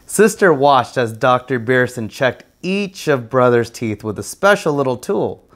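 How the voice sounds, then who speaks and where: A man's voice: mid-range voice, expressive, measured pace. A man narrating a children's storybook aloud as a guest reader.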